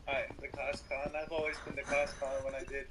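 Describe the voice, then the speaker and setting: An excitable, teasing player bantering casually with friends during a video game. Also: medium pitch, brisk, a little hiss or hum, low-quality recording. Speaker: player in a group gaming video.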